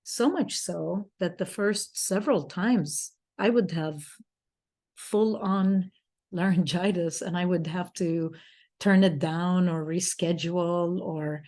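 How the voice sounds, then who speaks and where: Woman telling a long story real slow, lowish voice, rambles and laughs a bit. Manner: rambling, restarts, soft laughs. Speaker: co-host and speaker. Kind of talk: storytelling in casual chat.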